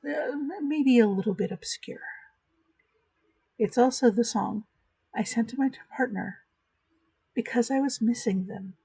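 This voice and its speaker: A woman hosting a virtual church chat, giving a calm, reflective spoken meditation. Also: on the high side, creaky, slightly rough, no room sound, low-quality recording.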